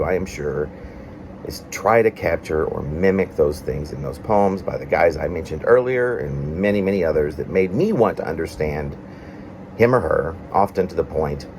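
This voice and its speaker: Low-voiced man reading his poem, lively intonation. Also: vocal fry, background noise. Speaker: poet reading own work. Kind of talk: reading a confessional prose-like poem aloud.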